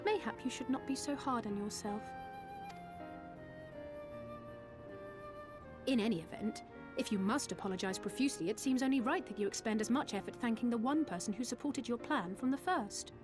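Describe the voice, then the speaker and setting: A woman's voice: fairly high pitch, fast, over music, close to an everyday voice. A woman playing a young, articulate adventurer of noble bearing in a fantasy game, confident, formal and dryly pointed. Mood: pointed consoling.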